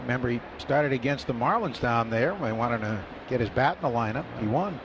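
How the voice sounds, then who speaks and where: A man's voice: medium pitch, lively intonation, brisk. A man giving matter-of-fact baseball analysis on the radio from a ballpark booth. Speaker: color commentator. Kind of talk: radio baseball color commentary. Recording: muffled radio broadcast with crowd noise.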